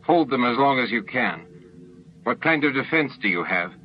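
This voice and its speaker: A man's voice: medium pitch, animated, moderate pace, lo-fi sound, a little hiss or hum, close to an everyday voice. A man voicing a harried, decisive first minister in an audiobook, clipped and authoritative.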